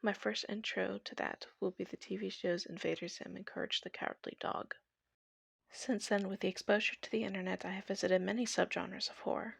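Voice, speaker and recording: A woman's voice: medium pitch, steady tone, fairly quick, creaky, breathy edge, dry recording. A young adult woman narrating a scripted personal video essay.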